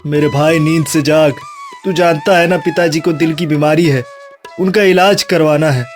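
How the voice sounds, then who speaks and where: A man's voice: on the high side, steady tone, moderate pace, soft background music, close to an everyday voice. A man voicing an earnest, hardworking younger son in a cartoon moral story, speaking sincerely and pleadingly. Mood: worried, pleading.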